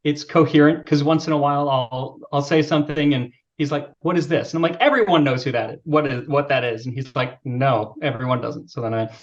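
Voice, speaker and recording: A man with a youthful-sounding voice talks as a podcast guest. His speech is relaxed and self-deprecating, with plenty of fillers. The recording sounds a little dull and lo-fi. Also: medium pitch, fairly quick, hardly any pauses. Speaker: podcast guest, video creator. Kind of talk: casual interview chat.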